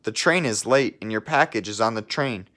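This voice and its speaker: A frowning station master from a children's storybook, read by a man. He speaks in an everyday, fairly low male voice. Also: expressive, normal speed, a bit bright. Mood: gruff warning.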